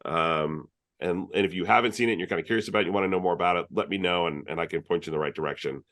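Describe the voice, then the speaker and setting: A man's voice: low voice, racing, fairly bright tone. A man hosting an online user group meeting, chatting casually through announcements with many fillers and restarts over a video call.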